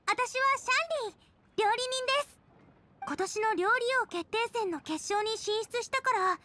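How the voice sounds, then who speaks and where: A woman's voice: very high-pitched, moderate pace, sharp tone. A woman voicing a cheerful, eager teenage girl chef in an anime game, bubbly yet polite and earnest. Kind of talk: Japanese anime game voice acting.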